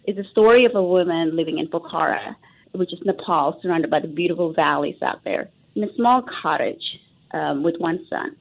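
A woman's voice: lowish voice, moderate pace, vocal fry. A woman raised in Texas, answering a radio interviewer in an earnest, reflective way with many fillers and restarts. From Indonesia, raised in Texas. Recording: tinny phone line.

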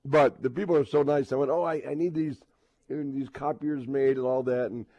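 A man's voice: medium pitch, steady tone, moderate pace, dark tone, dull audio. A man with an American accent hosting casual sports radio banter, rambling chattily and acting out little quoted exchanges.

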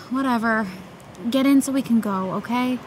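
A woman playing a blunt, impatient teenage best friend, animated, in a fiction podcast. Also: a little high, a little slow, a little hiss or hum, lightly acted, rough recording. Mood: impatient, annoyed. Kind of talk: scripted audio drama dialogue.

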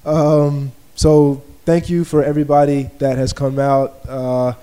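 A man from Baltimore hosting a live podcast interview in front of a small audience, easygoing and relaxed. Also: on the high side, unhurried, clear, resonant tone. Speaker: podcast host.

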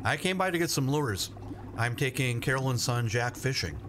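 A man's voice: mid-range voice, lively intonation, moderate pace, natural voice. A man playing an awkward, well-meaning family fisherman in a radio play, hesitant and plainspoken, over noisy ambience. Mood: sheepish. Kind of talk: naturalistic radio play acting. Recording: noisy ambience with vehicle sounds.

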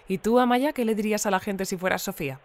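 An actress with a Spain Spanish accent playing a polite, composed news presenter in an audio fiction. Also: female voice, medium pitch, quick pace, no room sound, close to an everyday voice. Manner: polite, clear presenter delivery. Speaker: news presenter and debate moderator. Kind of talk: scripted newscast in audio fiction.

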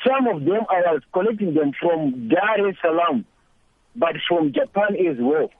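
A man calling a radio show from a moving car, earnest and halting, repeating words as he makes his point. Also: sits high, very animated, no echo. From Zimbabwe. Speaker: caller. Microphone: phone. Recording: tinny phone line from a car.

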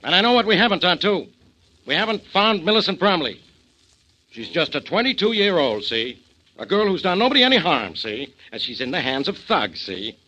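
A blustering, bright-voiced police inspector. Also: male voice, fairly high pitch, big pitch swings, moderate pace, exaggerated voice. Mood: exasperated, sarcastic. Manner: blustering, sarcastic, badgering. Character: gruff, hot-tempered, sarcastic police inspector. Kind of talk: 1940s radio acting. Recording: dull vintage 1940s radio recording.

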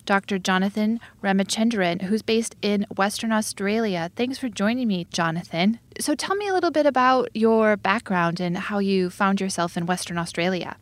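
A woman hosting an interview podcast, asking direct questions briskly. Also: medium pitch, no echo.

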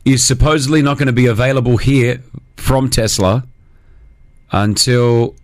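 A man's voice: medium pitch, steady tone, normal speed, no room sound. A man hosting a radio show, bantering playfully and teasing his co-hosts. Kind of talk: casual banter.

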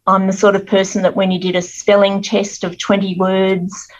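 A middle-aged Australian woman, a guest author telling her life story in an earnest, measured interview manner. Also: mid-range voice, monotone, dull audio.

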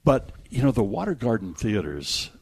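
A man's voice: on the low side, big pitch swings, moderate pace, a little mellow, husky. An American man hosting a radio show about movies, chatting in a casual, jokey and rambling way. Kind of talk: casual movie review chat.

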